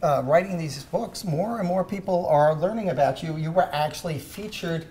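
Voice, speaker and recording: A man hosting a kids' cooking show in a home kitchen, talking in a halting way with many "uh" fillers. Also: on the high side, darkish tone. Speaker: host.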